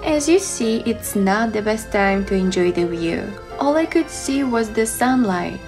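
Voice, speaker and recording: A woman's voice: on the high side, animated, measured pace. A young woman reading a scripted voice-over as the narrator of a travel guide. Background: background music.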